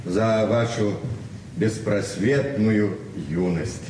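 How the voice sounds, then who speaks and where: Calm mature gentleman, lowish voice, dry humour, 1970s radio play, echoey. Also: very slow. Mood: gently teasing. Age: middle-aged. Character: mature male guest, calm, dryly teasing, courteous. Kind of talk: understated Soviet radio play acting. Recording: echoey, distant, noisy old radio recording.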